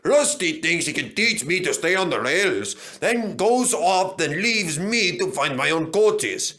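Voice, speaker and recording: A man voicing a gruff, boastful male engine who talks bluntly and loudly. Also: high-pitched, very animated, unhurried, voice pushed up, exaggerated voice. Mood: blustering. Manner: blunt, loud and swaggering.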